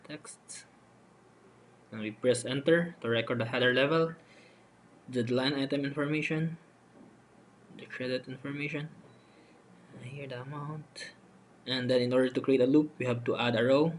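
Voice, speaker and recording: A man giving a halting, unscripted, step-by-step software demonstration as a voice-over for a screen recording. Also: medium pitch. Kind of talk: step-by-step screen tutorial narration. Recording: noticeable steady background noise.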